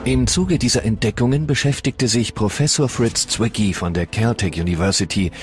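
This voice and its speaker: Low-voiced male documentary narrator. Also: normal speed. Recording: music bed under the voice.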